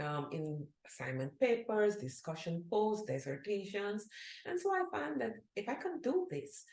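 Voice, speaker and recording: Guest author, woman from Guyana, middle-aged, earnest, slightly low pitch, roomy sound. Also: darkish tone. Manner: earnest, reads a book blurb aloud. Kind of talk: interview answers. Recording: echoey room.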